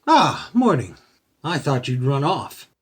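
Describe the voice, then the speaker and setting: An actor playing a plucky, wry gentleman on the run in an audio play. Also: medium pitch, big pitch swings, takes it slowly. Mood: eager. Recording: noisy amateur home recording, clicks.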